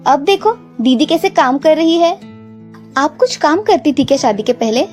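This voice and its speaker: A woman's voice: high voice, animated, moderate pace, a little mellow, faint music behind. A woman playing an assertive, outspoken young news reporter and daughter-in-law in a cartoon story. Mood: assured. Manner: firm, outspoken.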